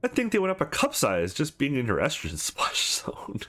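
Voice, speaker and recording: A man's voice: medium pitch, huge pitch swings, moderate pace, bright, forceful tone, pitched above the performer's own voice, exaggerated voice. Man putting on a brash teasing young woman, swooping.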